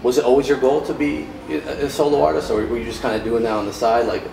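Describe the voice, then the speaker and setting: A man's voice: mid-range voice, steady tone, fast talker. A man interviewing a singer in a laid-back, casual way, often answering with "right" and "cool". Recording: echoey room, mic far off, clear background noise.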